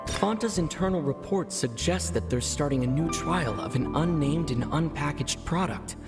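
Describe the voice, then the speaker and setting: Game investigator: young, pensive, measured and explanatory, with clear music behind. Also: male voice, on the high side, expressive. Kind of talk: video game character acting.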